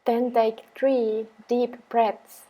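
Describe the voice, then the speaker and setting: A woman meditation teacher guiding affirmations in a calm, measured way with pauses between phrases. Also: a little high, rough recording. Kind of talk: guided meditation with repeated affirmations.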